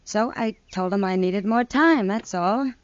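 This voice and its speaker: A woman's voice: mid-range voice, unhurried, no echo, lightly acted. An actress playing an independent, strong-willed American saloon owner in a radio western, frank and firm in her speech. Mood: resolute. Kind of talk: 1950s radio western acting. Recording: dull vintage radio recording.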